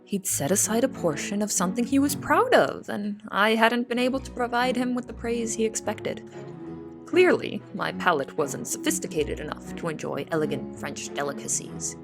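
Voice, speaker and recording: A woman playing a wry young cook who narrates her kitchen life in an expressive, storytelling way for an audio drama. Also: mid-range voice, moderate pace, natural voice. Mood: wry, reflective. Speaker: narrator and lead character. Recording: music behind the voice.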